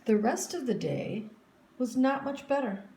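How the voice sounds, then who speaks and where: A woman narrating a children's story expressively, in a deliberate and emphatic way. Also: high-pitched, animated, warm tone. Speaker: storybook reader. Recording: roomy echo, faint background noise.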